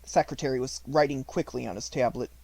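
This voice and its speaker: A man's voice: medium pitch, fast talker, creaky, a little mellow, dry recording. A man reading a classic children's novel aloud as scripted audiobook narration. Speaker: audiobook reader.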